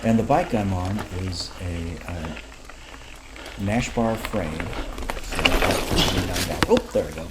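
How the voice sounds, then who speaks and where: A man in his sixties giving relaxed, rambling commentary over his mountain bike ride footage, with plenty of fillers. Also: sits low, takes it slowly, heavy background noise. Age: 60s.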